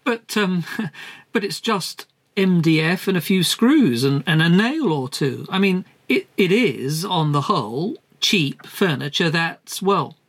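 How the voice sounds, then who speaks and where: A man hosting a scripted comedy podcast, interviewing in a dry, halting way with many fillers. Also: fairly high pitch, lively intonation, fairly bright tone. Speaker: podcast host.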